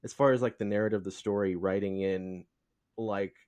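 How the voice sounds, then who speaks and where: A man hosting a casual podcast interview, talking in a halting, rambling way as he feels for words. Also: fairly low pitch, steady tone, a little mellow, clean tone. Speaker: podcast host.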